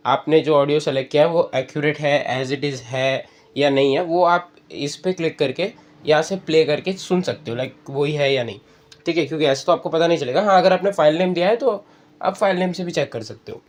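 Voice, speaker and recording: A man's voice: fairly high pitch, brisk. A man hosting an app tutorial, explaining step by step and addressing viewers as friends. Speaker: tutorial host. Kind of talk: spoken screen-reader app tutorial.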